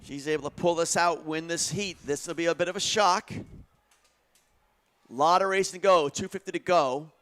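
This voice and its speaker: Live track commentator: man with a higher-set voice, conversational delivery that builds with the race. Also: steady tone, normal speed, fairly bright tone, smooth.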